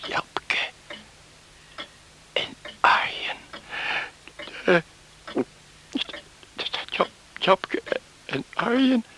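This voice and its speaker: A man playing a stubborn, embittered farmer father in a Dutch radio play, gruff, terse and halting. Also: high voice, sharp tone, whispered, exaggerated voice, poor audio. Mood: anxious, halting.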